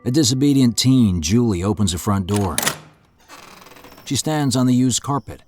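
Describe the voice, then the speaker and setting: A man reading scene directions in a steady, matter-of-fact way. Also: mid-range voice, moderate pace, noisy background. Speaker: narrator. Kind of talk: scripted narration of stage directions.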